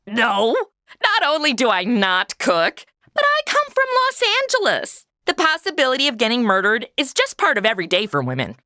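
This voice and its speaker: A woman plays a snarky modern city woman who cracks wise in the Old West. Her high, bright voice leaps all over the place, close and dry. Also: normal speed, no echo, full character voice. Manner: snarky deadpan quips. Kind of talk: comedy audio drama acting.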